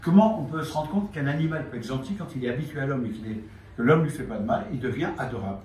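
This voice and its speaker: Brief: man, quick earnest talker, distant echoey sound with noise around. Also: mid-range voice, animated, darkish tone. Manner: earnest, preachy about animals. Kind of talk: casual chat.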